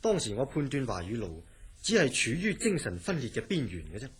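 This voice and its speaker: A man playing a composed, probing psychiatric hospital director in a horror radio drama, measured and authoritative. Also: mid-range voice, big pitch swings, natural voice. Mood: musing, guarded.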